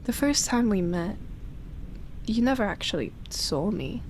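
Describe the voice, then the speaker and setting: A woman playing a seductive, tender yet obsessive immortal vampire in an intimate ASMR roleplay, breathy and teasing, slipping into whispers. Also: medium pitch, sing-song, unhurried, slight background noise. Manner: breathy teasing, soft sighs and chuckles, whispered phrases.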